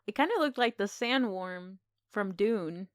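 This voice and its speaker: A woman's voice: medium pitch, lively intonation, measured pace, dry recording, studio quality. A woman co-hosting a podcast, chatting casually about films and retelling things with enthusiastic expression. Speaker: podcast co-host. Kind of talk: casual film discussion.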